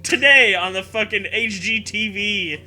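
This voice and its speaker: A man's voice: high-pitched, measured pace, bright, forceful tone, faint music behind. A man reading fan fiction aloud as a co-host, riffing in a profane, mock-dramatic way and prone to breaking into laughter. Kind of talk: comedic read-aloud with riffing.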